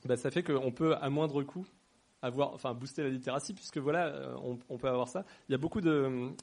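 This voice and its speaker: A man's voice: medium pitch, a little fast, creaky, darkish tone. A man speaking casually on a panel discussion. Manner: casual, conversational. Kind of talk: public discussion.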